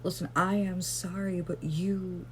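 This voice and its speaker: Slow, low-voiced woman giving gentle advice. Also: steady tone, slight background noise. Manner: rambling, gentle, self-deprecating with frequent fillers. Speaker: vlogger. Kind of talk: casual self-care advice to camera.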